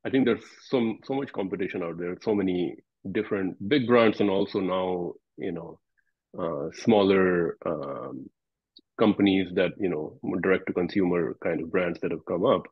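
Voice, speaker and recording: Deep husky host on a tinny call. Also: male voice, normal speed, no echo. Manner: hesitant, rambling questions. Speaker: interview host. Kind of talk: casual interview questions. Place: home room via video call. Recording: dry, phone-like call audio.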